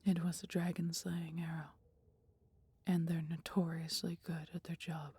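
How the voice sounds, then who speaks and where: A woman voicing a caring, protective female dragon in an intimate, breathy ASMR roleplay, with gently formal, old-fashioned speech. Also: lowish voice, level tone, unhurried, no room sound, heavily acted. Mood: grave, reluctant. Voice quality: breathy, whispery.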